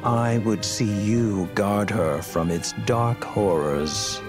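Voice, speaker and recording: A man's voice: lowish voice, slow pace, music in the background. A man playing a suave, menacing video game villain who speaks in a courtly, condescending, formal way. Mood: coolly condescending. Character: suave, menacing male villain of a dark organization. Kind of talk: video game cutscene acting.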